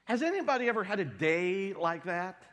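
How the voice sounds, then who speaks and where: Congested pastor in a reverberant hall. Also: male voice, high-pitched, normal speed, slight background noise. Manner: wry, deadpan humor. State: congested with a cold. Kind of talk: sermon.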